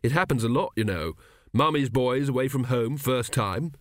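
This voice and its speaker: A man reads as a plucky schoolboy in a storybook. He is brisk, decent and outspoken, in a medium-pitched voice with lively movement. The recording is dry. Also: dry recording, natural voice. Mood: matter-of-fact. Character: plucky, forthright, decent boarding-school boy.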